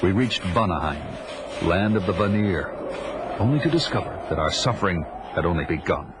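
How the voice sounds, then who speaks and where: Low-voiced grim barbarian storyteller. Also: male voice, animated, moderate pace, background noise. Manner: grave, dramatic. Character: grim, vengeful grown barbarian warrior recounting his life. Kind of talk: dramatic first-person narration, 1980s audio drama.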